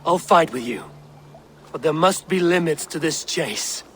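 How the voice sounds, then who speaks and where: A grim, loyal Viking warrior in a video game. His voice sits a little high for a man and is blunt and grave, with faint noise behind it. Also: animated, unhurried, a bit bright. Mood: earnest. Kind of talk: dramatic video game cutscene acting.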